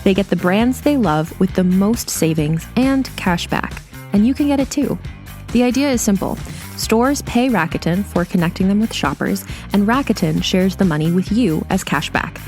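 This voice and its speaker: A woman's voice: mid-range voice, animated, normal speed, darkish tone, faint music behind. A woman narrating a scripted advertisement. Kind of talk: scripted ad read.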